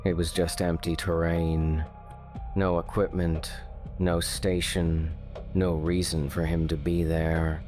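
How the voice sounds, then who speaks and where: A man narrating a dark true-style mystery story in a slow, measured, ominous way. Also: sits low, level tone. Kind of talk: scripted horror narration. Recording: faint music underneath.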